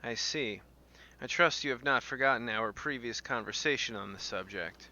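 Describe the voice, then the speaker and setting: A man voicing a wary, formal pirate in a fantasy game, measured and stern. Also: mid-range voice, sharp tone, lo-fi sound. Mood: stern, guarded.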